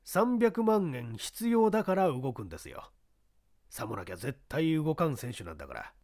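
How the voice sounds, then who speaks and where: A man voicing a shrewd baseball talent scout who talks in a measured, persuasive way in an audiobook. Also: mid-range voice, very animated, darkish tone.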